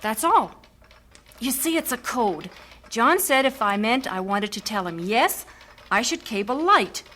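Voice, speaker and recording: A woman's voice: a little high, big pitch swings, unhurried, pushed and bright, a little hiss or hum, heavily acted. An actress playing an earnest, heartfelt young woman missionary about to sail overseas in a vintage radio drama. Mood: eager. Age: young adult.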